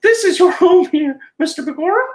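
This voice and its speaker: A podcaster reading aloud and putting on a squeaky, chipper falsetto for a polite, upbeat cartoon mouse. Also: animated, fairly quick. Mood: cheery. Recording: roomy echo.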